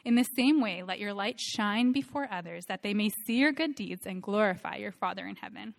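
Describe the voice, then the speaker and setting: A woman's voice: mid-range voice, expressive, brisk, creaky. A woman in her thirties giving a church talk on mission, reading scripture aloud in an earnest, emphatic way. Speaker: missionary speaker presenting to a church.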